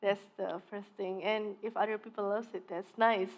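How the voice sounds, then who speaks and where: A woman talks casually as an author on a festival panel. Her voice sits at a middle pitch, and she is self-deprecating, dropping little laughs between thoughts. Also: level tone, normal speed, poor audio. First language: Indonesian.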